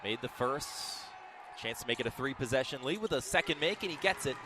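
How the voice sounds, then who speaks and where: A man calling live basketball play-by-play for radio in clipped, descriptive phrases that build to excited calls on big plays. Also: mid-range voice, brisk. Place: indoor basketball arena. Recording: live arena broadcast with game noise.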